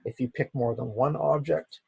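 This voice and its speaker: A man with a raspy, hoarse voice, as he is losing it, teaching a software class with many "okay"s. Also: medium pitch, normal speed, muffled recording. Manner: repeats "okay" as a filler. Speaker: instructor. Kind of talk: software tutorial lecture.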